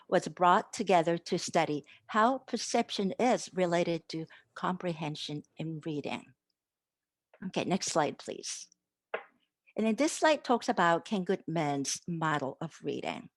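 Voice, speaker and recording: A woman's voice: on the low side, no echo. A woman whose first language is Japanese, giving an academic conference talk over slides on a video call, earnest, with fillers and restarts. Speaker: academic presenter.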